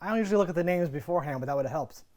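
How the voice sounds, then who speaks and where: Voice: medium pitch, expressive, racing, warm tone. A podcast co-host in casual TV review banter, arguing points by acting out bits of imagined dialogue.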